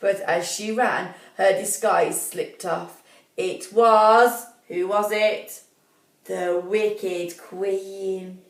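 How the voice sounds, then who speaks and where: A woman reading a children's story as expressive, deliberate narration, pausing to put questions to young listeners. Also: medium pitch. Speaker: primary school story reader. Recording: echoey room, distant mic.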